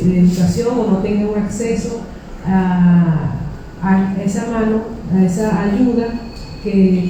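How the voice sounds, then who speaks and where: A woman from Venezuela, informally introducing a live panel discussion as host, in a halting way with drawn-out, repeated words. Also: medium pitch, warm tone. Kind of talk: informal spoken introduction to a live public talk. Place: indoors in a library event space. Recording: very echoey room, distant mic, faint noise.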